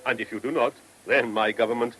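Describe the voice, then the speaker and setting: An actor playing a genial, talkative Viennese police commissioner with an Austrian German accent and formal foreign phrasing, in a radio play. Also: male voice, medium pitch, fairly even intonation, a little fast, a bit bright, close to an everyday voice. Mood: courteous, probing. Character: genial, courteous Viennese police commissioner who loves food. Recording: noisy old radio drama recording.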